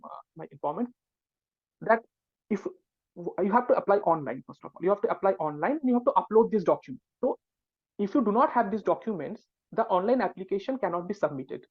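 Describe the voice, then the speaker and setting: A man's voice: high-pitched, no echo. A man, an academic researcher on a webinar panel, explaining a topic step by step with frequent hesitations. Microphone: video call. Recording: dull, dry video call audio.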